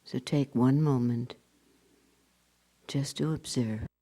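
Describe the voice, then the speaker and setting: A man leading a guided meditation as a spiritual teacher, speaking in short phrases with long pauses and hushed endings. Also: medium pitch, fairly even intonation.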